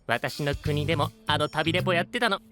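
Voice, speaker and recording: A man's voice: a little high, fairly quick, bright, forceful tone. A man playing a teasing, self-assured young character in an anime voice drama, speaking politely with a needling edge. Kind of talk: Japanese anime voice drama acting. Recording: music behind the voice.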